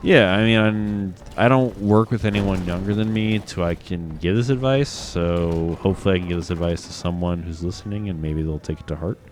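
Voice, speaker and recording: A man's voice: low voice, brisk, few pauses, slight background noise. A man with a Midwestern American accent, a bit drunk, rambling and reflective as he hosts a casual gaming chat, swearing casually. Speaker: gaming podcast host.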